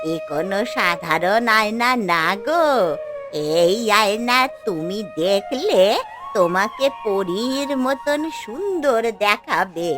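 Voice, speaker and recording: A woman playing a sly old woman peddler in a fairy-tale cartoon, coaxing customers with drawn-out street calls. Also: mid-range voice, animated. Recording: music behind the voice.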